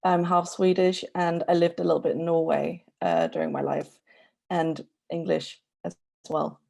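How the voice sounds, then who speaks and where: A young Danish woman with a lowish voice and a gravelly edge to it. She sounds mellow and dark in tone. She talks in a thoughtful, measured way. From Denmark. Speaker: student poetry judge. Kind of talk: casual interview answers.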